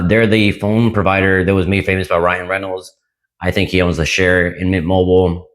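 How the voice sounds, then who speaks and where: A man with a very low, hoarse voice, still rough from losing it to an illness. He talks through his monthly costs in a loose, chatty way and keeps checking in with "right?". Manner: casual, frequent "right?" asides. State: hoarse, recovering lost voice. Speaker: laundromat owner and channel host. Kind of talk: casual money-saving vlog.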